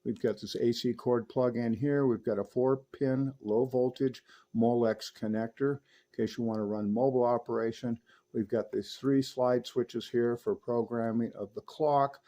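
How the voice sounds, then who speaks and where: A man's voice: medium pitch, steady tone, normal speed, dark tone. A man presenting a hands-on product demo with an enthusiastic manner. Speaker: host demonstrating radio gear.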